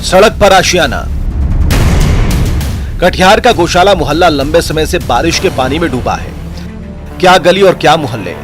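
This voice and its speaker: A man's voice: a little high, expressive, normal speed, long pauses. A man delivering a scripted, dramatic news voice-over. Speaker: news narrator. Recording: music bed behind voice.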